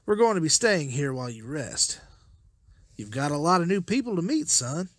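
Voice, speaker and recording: An actor playing an anguished, protective father in a motion comic drama, husky and emotionally intense. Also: a little high, big pitch swings, moderate pace, no echo, studio quality. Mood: tender. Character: anguished, protective father leading zombie-apocalypse survivors.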